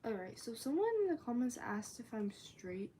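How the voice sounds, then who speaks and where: A teenage girl chatting on her own livestream, rambling loosely with lots of "like" and now and then dropping into a soft whisper. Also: fairly high pitch, very animated, normal speed, slight background noise. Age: teens. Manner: rambling, chatty, filler-heavy talk with frequent "like". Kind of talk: casual livestream chat. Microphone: phone or tablet.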